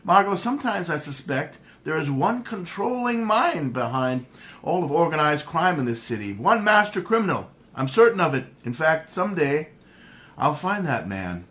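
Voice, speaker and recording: Brief: man doing a genteel gentleman hero, lively pitch, assured, old-time radio. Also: moderate pace. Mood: determined. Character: suave wealthy male crime-fighter, calm and assured. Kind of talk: 1930s radio drama acting.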